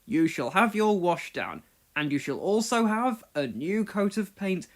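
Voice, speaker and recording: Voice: medium pitch, big pitch swings, unhurried. A storyteller voicing a stern, formal, authoritative male railway controller in a storybook. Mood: stern, approving.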